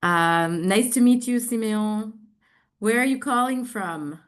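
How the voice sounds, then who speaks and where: A middle-aged American woman from New York giving an online presentation, speaking haltingly with frequent pauses. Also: on the high side. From New York, United States. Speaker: social enterprise representative presenting.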